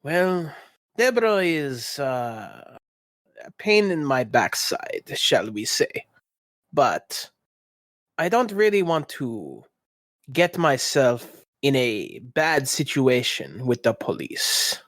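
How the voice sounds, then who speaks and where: A man playing a sheepish, shady pickpocket informant who haggles in a measured, evasive way in a tabletop game. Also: mid-range voice, big pitch swings, a bit bright, no room sound, studio quality, full character voice. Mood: cagey, bargaining.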